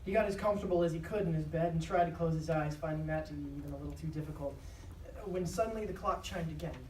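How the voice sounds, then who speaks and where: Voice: medium pitch, fast talker. A narrator reading scripted dramatic narration live on stage. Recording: echoey live stage, distant, clear noise.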